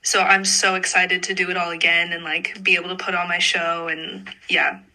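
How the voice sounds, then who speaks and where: A woman's voice: lowish voice, flat delivery, moderate pace, sharp tone, rough recording. A young adult woman chatting casually as an interviewed singer, very informal and peppering sentences with "like". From Canada. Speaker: pop singer being interviewed. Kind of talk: casual chat.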